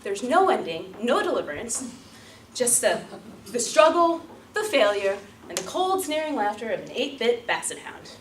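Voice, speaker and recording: A woman delivering a rehearsed storytelling speech as a public speaker, theatrical and emphatic, with deliberate repetition and pauses. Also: fairly high pitch, big pitch swings. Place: room before an audience. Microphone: distant microphone. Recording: roomy, distant mic, light background noise.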